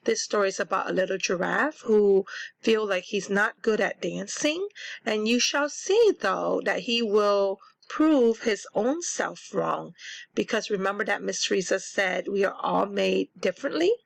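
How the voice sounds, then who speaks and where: A woman teacher reading a storybook to children and explaining it simply, in a deliberate, instructive way. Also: medium pitch, gravelly, no echo.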